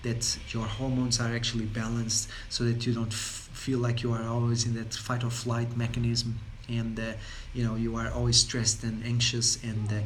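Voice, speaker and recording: Man, Portuguese accent, mid-pitched voice, rambling wellness talk, lots of fillers, faint background noise. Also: completely flat delivery, normal speed, few pauses. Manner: rambling, many fillers and repeated words. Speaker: wellness speaker. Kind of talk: unscripted talk.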